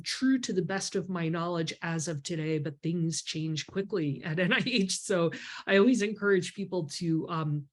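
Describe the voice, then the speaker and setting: A woman in her fifties with a stuffy, congested voice, hosting a members video call from her home office, explaining things in a chatty way with lots of ums. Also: mid-range voice. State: congested from a cold. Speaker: grant writing mentor hosting a members call. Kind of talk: casual webinar talk with many ums. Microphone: webcam on a video call.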